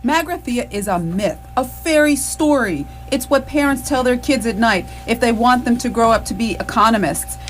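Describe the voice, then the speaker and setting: Woman playing sarcastic, animated space traveller. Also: fairly high pitch, normal speed, few pauses, slight background noise, lightly acted. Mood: sceptical. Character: Ford, sceptical, exasperated, sarcastic male space traveller. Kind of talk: stage radio comedy drama.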